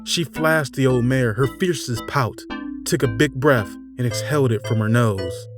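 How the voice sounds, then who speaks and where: Low-pitched-to-middle male storyteller over music. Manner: measured, theatrical, pausing between short phrases. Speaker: storyteller narrator. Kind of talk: dramatic scripted fairytale narration. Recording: music bed under the voice. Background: instrumental music.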